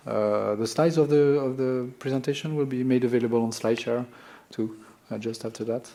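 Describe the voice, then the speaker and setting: A man's voice: mid-range voice, darkish tone. A French man with a French accent speaking on a conference stage, often repeating words as he goes. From France. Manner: stammering repeats. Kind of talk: technical conference talk. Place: large conference hall stage.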